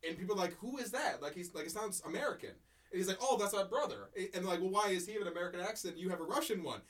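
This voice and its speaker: A man's voice: high-pitched, lively intonation, racing, fairly bright tone, far from the mic. A man co-hosting a podcast in casual chat, rambling with many stammered restarts. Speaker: podcast co-host.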